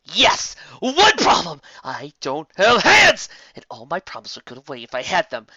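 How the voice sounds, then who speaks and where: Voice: medium pitch, huge pitch swings, moderate pace, bright, forceful tone, muffled recording, pitched above the performer's own voice. A narrator voicing a hot-headed female unicorn in a comic story, shrieking and ranting in overwrought indignation. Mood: demanding, manic. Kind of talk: acted comic fan-story voice.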